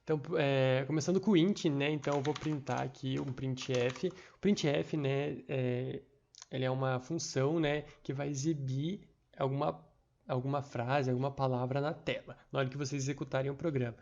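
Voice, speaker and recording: A young man from Brazil giving a screen-recorded programming lesson, halting, with many fillers and restarts. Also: mid-range voice, steady tone, vocal fry, darkish tone. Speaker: tutor. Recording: dull lo-fi mic.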